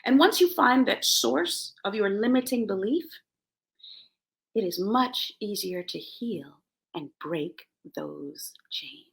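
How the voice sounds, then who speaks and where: A middle-aged woman hosting a self-help show, reading aloud and commenting in an emphatic motivational style with deliberate pauses. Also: medium pitch, animated, vocal fry, low-quality recording. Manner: emphatic, dramatic pauses, chuckles between phrases.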